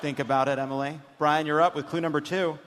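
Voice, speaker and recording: Guy hosting a comedy quiz, highish voice, big echoey room, lots of noise. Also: fairly even intonation, quick pace. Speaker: comedy show host and quizmaster. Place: live stage before an audience. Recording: echoey hall, loud background noise.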